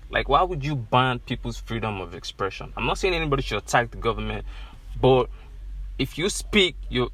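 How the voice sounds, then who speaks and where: A man's voice: mid-range voice, a bit bright. A young Nigerian man hosting his own podcast, speaking earnestly and with growing passion, recorded inside a car. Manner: earnest, repetitive, rising to passionate emphasis. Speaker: podcast host. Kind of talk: solo podcast monologue.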